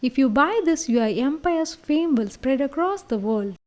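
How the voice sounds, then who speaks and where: A woman voicing a proud, boastful male merchant in a children's story, pitching his wares pompously. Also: animated, unhurried, a little mellow. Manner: pompous, grandiose salesmanship. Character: proud, boastful male merchant swindler selling rare treasures.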